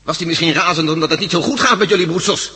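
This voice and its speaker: An actor playing an indignant, defiant male prisoner in a radio drama, forceful and questioning. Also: a little high, fast, slightly rough, big-room sound, full character voice. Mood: suspicious.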